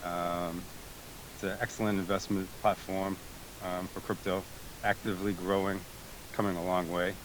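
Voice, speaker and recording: A man's voice: deep voice, level tone, normal speed, noisy background. A man born in Greece answering interview questions over a voice chat, hesitant, with many fillers and restarts. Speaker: guest, tech support team member. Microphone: remote voice chat call.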